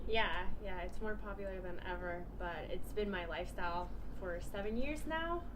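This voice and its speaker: Puerto rican woman late 20s, chatty and open, um's and likes everywhere, loud noise behind her. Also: medium pitch, normal speed. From Puerto Rico. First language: Spanish. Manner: chatty and candid, laughs often, many fillers. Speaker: guest, vegan bikini athlete. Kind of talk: casual interview storytelling.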